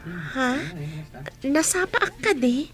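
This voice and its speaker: High-voiced, naive schoolgirl. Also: big pitch swings, slow, faint music behind, exaggerated voice. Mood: flustered. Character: teased schoolgirl daughter, naive and self-doubting. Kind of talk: Ilocano radio drama acting.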